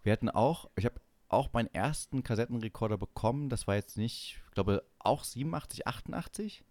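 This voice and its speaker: A man in his forties from East Germany talks as a podcast co-host in a casual, storytelling way. His voice sits at a medium male pitch and he likes teasing banter. The sound is dry and close, with very little room. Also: animated, a little fast, a little mellow, no echo. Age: 40s.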